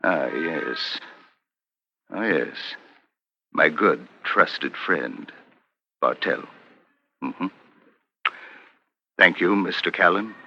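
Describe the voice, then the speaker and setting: An actor playing a powerful, smoothly menacing crime boss in a 1950s radio drama, deliberate and courtly in manner. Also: male voice, deep voice, expressive, bright, forceful tone, heavily acted. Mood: smooth, insinuating. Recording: dull 1950s radio recording.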